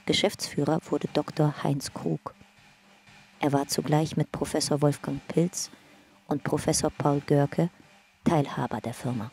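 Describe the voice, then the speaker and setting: A woman's voice: sits low, fairly even intonation, a little slow, warm tone. A woman narrating an investigation file in a factual, detached, scripted radio drama style.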